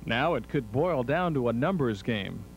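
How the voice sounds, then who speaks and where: A man's voice: medium pitch, lively intonation, normal speed, slight background noise, poor audio. A man narrating a scripted television news report.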